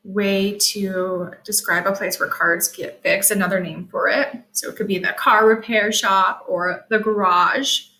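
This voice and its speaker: A woman's voice: mid-range voice, fairly even intonation, measured pace, pushed and bright, roomy sound, rough recording. A woman teaching young children to read, patiently spelling and explaining in a sing-song teacherly way with careful, emphatic enunciation. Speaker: early-grade reading teacher. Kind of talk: sight-word lesson and picture book read-aloud for young children.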